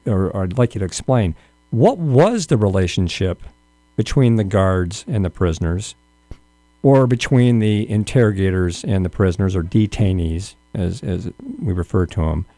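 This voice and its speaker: A man's voice: lowish voice, mellow, dry recording. A man hosting an interview, asking long, rambling questions full of fillers and restarts. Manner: rambling, hesitant, many fillers. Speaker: radio interview host. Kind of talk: conversational interview.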